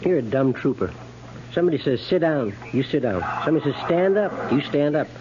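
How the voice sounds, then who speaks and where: An actor in a 1950s radio western playing a brash, griping cavalry private with a sardonic, folksy, ungrammatical drawl. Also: male voice, fairly high pitch, lively intonation, fairly quick, close to an everyday voice. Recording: muffled, noisy 1950s radio recording.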